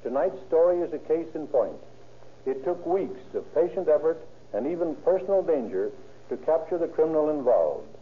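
A man's voice: fairly high pitch, sing-song, normal speed, slight background noise. Formal man on tinny vintage radio. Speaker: state narcotics enforcement chief, guest speaker. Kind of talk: formal prepared address read from script. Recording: very narrow, tinny 1930s radio recording.

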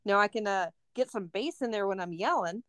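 A middle-aged woman co-hosting a casual podcast chat. She tells stories with animation and acts out voices. Her voice is mid-pitched and the recording is dry and close. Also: animated, fairly quick, fairly bright tone, dry recording. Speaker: podcast co-host and substitute teacher.

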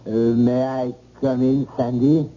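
An actor playing a polite, hesitant French cooking teacher with a French accent and formal manner in an old-time radio drama. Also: male voice, mid-range voice, level tone, unhurried, background noise, lightly acted. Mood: polite, embarrassed. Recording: muffled old radio recording.

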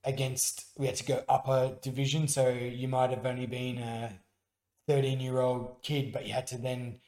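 A man's voice: medium pitch, flat delivery, moderate pace, darkish tone, reverberant. An Australian man, a musician guest on a radio show, telling earnest stories with frequent restarts. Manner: earnest storytelling with many restarts. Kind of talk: casual radio interview.

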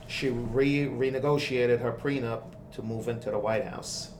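A man's voice: medium pitch, steady tone, a little slow, reverberant. A man in casual podcast chat, explaining things matter-of-factly. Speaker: podcast co-host. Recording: clear background noise.

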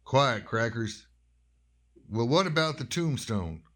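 A man portrays a small-town doctor in a horror radio play, with a middling pitch. He is gruff, impatient and skeptical, scolding in brusque, folksy phrases. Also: lively intonation, slow, fairly bright tone. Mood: irritated, uneasy. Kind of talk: radio drama horror acting.

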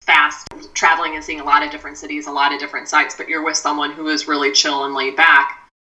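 A woman speaking as a mindfulness expert on a podcast, giving measured advice with frequent "you know" asides. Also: deep voice, level tone, pushed and bright, distant mic, low-quality recording. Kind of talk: podcast conversation.

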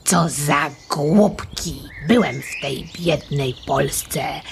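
Lady doing a grumpy scornful stork, lowish and slow, storybook audio play. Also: creaky, a little hiss or hum, heavily acted. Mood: scornful, aggrieved. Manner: grumbling, disdainful.

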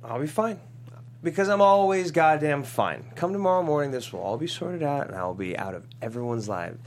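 A man's voice: on the high side, expressive, normal speed, slight background noise. An actor playing a wounded, prideful, drunk young man in a comedy script table read. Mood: hurt, defiant.

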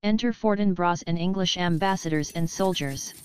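A woman's voice: lowish voice, normal speed, heavy background noise. A woman reading stage directions as the scripted narration of an audio play.

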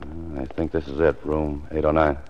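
A man's voice: extremely low pitch, flat delivery, normal speed, darkish tone, slight background noise. A man playing a terse, brooding author-detective who narrates his own case, with an American accent, in 1940s radio noir style. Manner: terse, self-questioning. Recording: muffled 1940s radio recording, music behind.

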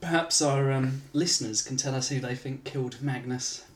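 A man's voice: medium pitch, fairly even intonation, moderate pace, crowd noise. A man co-hosting a casual podcast, reading out a story chapter and chatting with a dry manner.

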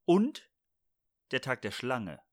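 A man in relaxed conversation, playful and jokey, who often trails off and starts his sentences over. His voice sits in an ordinary middle range. It sounds dry and close, with no room around it. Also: mid-range voice, slow, dry recording. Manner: playful, jokey, rambling with many restarts. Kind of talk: casual chat.

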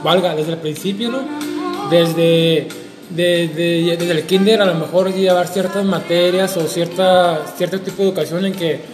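A man's voice: sits high, steady tone, measured pace. A man co-hosting a casual panel discussion, hesitant and searching for words, with dry jokes. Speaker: co-host. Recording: echoey room with music behind.

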